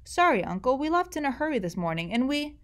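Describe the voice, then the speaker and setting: Sweet polite kid nephew buttering up his uncle, a lady reading, bit higher. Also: sing-song, quick pace. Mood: apologetic. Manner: sweet, eager to please. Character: polite flattering middle nephew boy.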